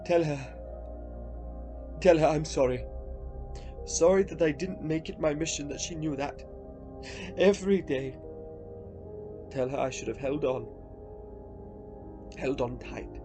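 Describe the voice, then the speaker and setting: A man acts out a repentant old grouch for a radio drama. His voice sits fairly high for a man and moves slowly, breaking into halting, emotional exclamations. Faint music plays behind him. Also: close to an everyday voice. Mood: pleading, sorrowful. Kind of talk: radio play acting.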